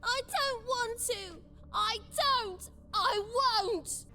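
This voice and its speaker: A woman's voice: very high-pitched, drawn-out words, pushed and bright, full character voice. An actress voicing a mischievous, playful little girl godling in a video game, with a childlike, teasing British manner of speech. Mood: petulant. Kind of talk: video game character acting.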